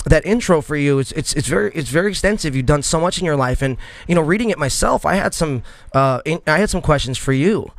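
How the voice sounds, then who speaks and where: A man's voice: fairly high pitch, animated, fast, few pauses, no room sound. A man in his thirties hosting a podcast interview, talking casually and rambling with many fillers and restarts. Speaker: podcast host.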